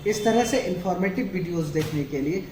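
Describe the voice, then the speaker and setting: A man presenting a hands-on tech tutorial, explaining step by step in a halting, repetitive way. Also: high voice, animated. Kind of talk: hands-on product demonstration. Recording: clearly noisy room recording with ambience.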